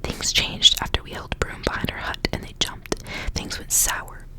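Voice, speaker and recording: A young woman whispering in a breathy, intimate ASMR style, softly reading and chatting. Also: moderate pace. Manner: soft, intimate narration with casual asides. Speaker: ASMR reader.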